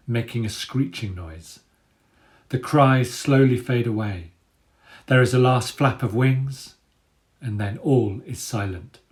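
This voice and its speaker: A man, an author telling and reading a children's adventure story aloud in an expressive storytelling style. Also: medium pitch.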